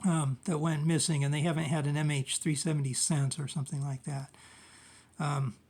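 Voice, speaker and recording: A man talking informally through his own research in a halting, rambling way, often trailing off and searching for words. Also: fairly high pitch, level tone, a little mellow, husky. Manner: halting, hesitant, rambling with frequent trailing phrases. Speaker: amateur researcher presenting own video. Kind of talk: informal monologue recounting research.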